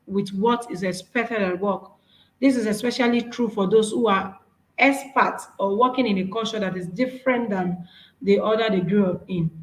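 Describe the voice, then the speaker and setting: A woman's voice: mid-range voice, roomy sound, low-quality recording. A woman with a Nigerian accent, whose first language is Yoruba, giving an earnest, encouraging workshop talk with slides, often restarting her phrases. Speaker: speaker.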